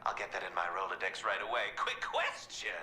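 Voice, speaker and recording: An actor playing a manic, theatrical clown villain in a video game, mocking and flirtatious. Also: male voice, fairly quick, pushed and bright, reverberant, slight background noise. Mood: mock-polite, flirty. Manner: theatrical, taunting, swooping between shrill and low. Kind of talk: video game cutscene acting.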